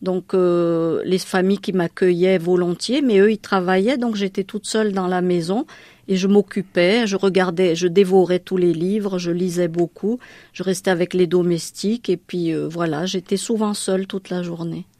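A woman's voice: fairly low pitch, steady tone, normal speed, mellow, dry recording. Hesitant woman from Madagascar. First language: Malagasy. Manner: hesitant, many fillers and restarts. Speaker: interviewee. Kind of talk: personal life story in an interview.

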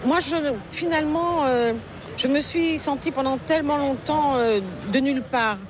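Une femme d'âge moyen, romancière originaire de Paris, répond en entretien de façon réfléchie et hésitante, avec des « euh » et des reprises fréquentes. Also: female voice, sits high, normal speed. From Paris, France. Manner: reflective, hesitant with frequent fillers and restarts. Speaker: novelist guest. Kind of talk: casual interview conversation. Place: outdoor market, among people. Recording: busy crowd noise outdoors. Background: crowd chatter, passing vehicles.

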